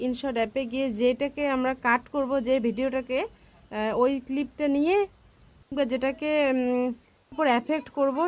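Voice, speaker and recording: A woman's voice: high-pitched, a little mellow. A woman talking casually as a vlogger, explaining things in a tutorial style. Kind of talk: casual tutorial and vlog talk.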